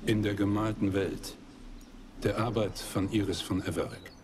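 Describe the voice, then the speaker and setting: Male actor as a cool, deep-voiced talking dog, game voice with ambient noise. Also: steady tone, normal speed, a little mellow, close to an everyday voice. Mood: matter-of-fact. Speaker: talking animal companion.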